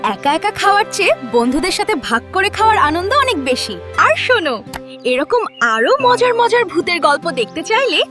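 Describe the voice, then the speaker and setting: A greedy little cartoon girl, speaks in a very high, swooping voice. She is childlike, sassy and takes her time over words, with clear music playing behind her. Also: big pitch swings, normal speed, pushed and bright, heavily acted. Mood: cheerful. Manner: bratty, childlike, exclamatory. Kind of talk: animated children's story acting.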